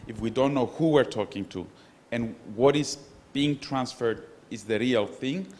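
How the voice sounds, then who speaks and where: A man with a Cypriot Greek accent, a professor speaking on a conference panel in an earnest, measured way with small restarts. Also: medium pitch, darkish tone. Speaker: panelist, professor of international relations. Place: conference hall.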